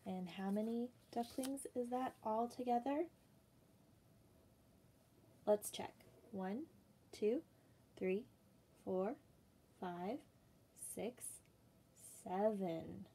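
A young woman teacher reading a picture book aloud and counting slowly for young children in a small room, patient and sing-song. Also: mid-range voice, warm tone, slightly rough.

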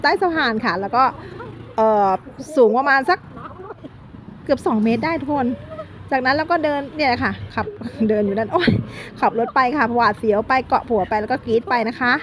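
A woman's voice: a little high, fairly quick, slight background noise. A middle-aged woman narrating a day trip in a chatty vlog, talking straight to her viewers and joking as she corrects herself. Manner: chatty, addresses viewers constantly, playful self-corrections. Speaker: vlogger.

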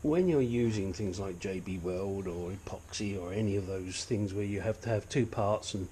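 A man's voice: sits low, normal speed, warm tone, slight background noise. Blunt, chatty older man in a garage. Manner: blunt, chatty, self-deprecating. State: sniffly. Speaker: hobbyist engine restorer. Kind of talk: casual workshop walkthrough. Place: cluttered home garage workshop.

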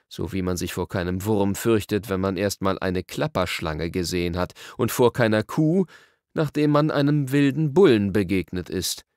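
A man's voice: fairly low pitch, darkish tone, no room sound, clean studio sound. A man reading a children's fantasy novel aloud as an audiobook narrator. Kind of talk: scripted storybook narration.

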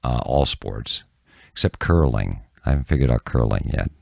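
An older man chatting casually as a podcast guest, telling stories in an animated way and repeating phrases for emphasis, close on the microphone. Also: a bass voice, moderate pace, gravelly, no room sound. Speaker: podcast guest, TV news broadcaster. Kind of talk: casual chat, storytelling. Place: small indoor room with bookshelves. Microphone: close podcast microphone on arm.

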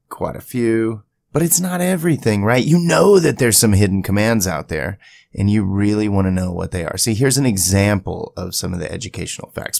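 Deep, gravelly man walking through software. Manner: conversational, chatty asides. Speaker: tutorial video creator. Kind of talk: casual screen-share software tutorial. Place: indoors at a home PC, voice-over to screen capture.

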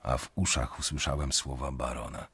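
A man's voice: a bass voice, steady tone, a bit bright. A man playing a brooding, conscience-stricken young banker who narrates a radio drama in the first person, introspectively. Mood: brooding, measured. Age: young adult. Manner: measured, introspective storytelling.

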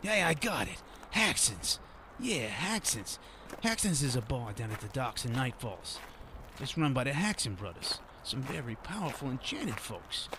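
A shifty streetwise informant in a radio drama. He is jittery and lively in pitch, sitting in the middle register, with clear background ambience. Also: unhurried, background noise. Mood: anxious. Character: nervous, shifty supernatural informant on the run. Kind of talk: radio drama monologue and dialogue.

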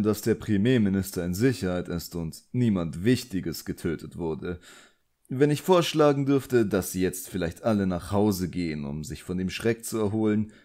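Guy doing a smooth posh evil wizard, mellow, condescending, audiobook style. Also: on the low side, pitched above the performer's own voice. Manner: suave, politely commanding. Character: elegant, smooth, condescending male villain sorcerer. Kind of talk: audiobook character acting.

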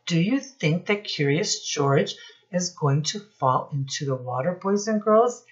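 Unhurried, lowish-voiced woman reading to children. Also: animated, muffled recording. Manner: instructive, addresses children directly, pauses to point things out. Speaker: early childhood teacher reading aloud. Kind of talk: children's storybook read-aloud with questions to young viewers. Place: small room at home, indoors.

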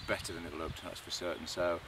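A middle-aged man with a Lancashire accent and a deep, low voice, talking casually and explaining plants as a bushcraft instructor. He speaks relaxed, with fillers and restarts. He is recorded outdoors in a meadow on a camera mic, with faint outdoor noise behind him. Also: fast, creaky. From England. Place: outdoors in a grassy meadow by woodland.

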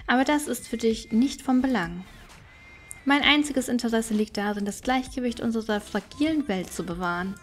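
An ancient witch of a fantasy game, voiced by a woman reading aloud, speaks in a slightly high voice. She is aloof and wise, with formal, measured words. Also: lightly acted.

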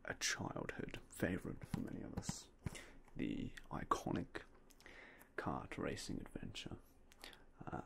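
A young man whispering softly and politely as a game shop clerk in an ASMR roleplay, close to a binaural mic. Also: extremely low pitch, creaky. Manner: quiet, whispery, polite shopkeeper patter.

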